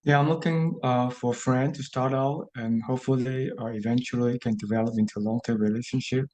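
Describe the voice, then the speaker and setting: A man in his sixties answers in a measured, unhurried way on a dating show. He pauses on frequent fillers, and his voice has a mid pitch. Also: steady tone, a little mellow, poor audio. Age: 60s.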